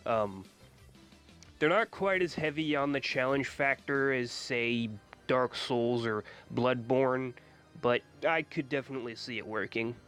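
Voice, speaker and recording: A man with a stuffy nose from a cold, giving his blunt opinions in a casual gaming vlog, swearing freely and filling pauses with ums and uhs. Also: medium pitch, fairly bright tone, clear, resonant tone, faint music behind. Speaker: gaming YouTuber.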